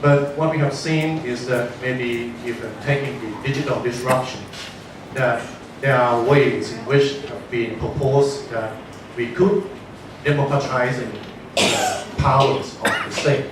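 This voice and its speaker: A man of middling pitch asks a panel a thoughtful question in an earnest, measured way. He sits well back from the mic, and the room rings with heavy echo and noise. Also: steady tone. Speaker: audience member asking a question. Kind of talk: question from the floor at a panel discussion. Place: large conference room. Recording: echoey hall from far off, noisy.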